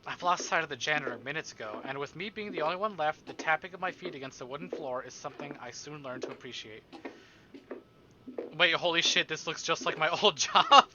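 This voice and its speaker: A man's voice: a little high, brisk, highs cut off, background noise. A man livestreaming a visual novel, reading it aloud dramatically and adding casual joking asides.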